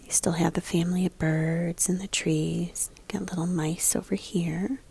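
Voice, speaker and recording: A woman with a soft-edged, mellow and breathy voice that often creaks at the ends of phrases. She speaks as an elementary art teacher, guiding young children through a picture book and prompting them to look closely. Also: on the low side, level tone, a little slow. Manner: guiding, prompts listeners with questions.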